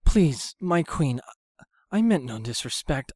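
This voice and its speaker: A man's voice: on the high side, very animated, slow pace, darkish tone, no echo, clean studio sound, exaggerated voice. An actor, a man, playing a frightened pony slave in a fan fiction audio drama, pleading and faltering.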